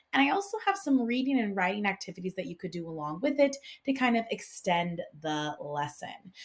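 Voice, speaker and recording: A woman hosting an informal instructional vlog, explaining things conversationally like a classroom teacher. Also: medium pitch. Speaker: classroom teacher and video host. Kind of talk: casual instructional vlog talk about picture books.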